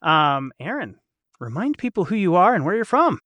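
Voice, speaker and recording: A man's voice: high-pitched, big pitch swings, moderate pace, a bit bright, dry recording. A man hosting a casual chat in a playful, joking, nerdy manner, recorded on a close mic. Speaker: host. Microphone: close studio mic on a video call.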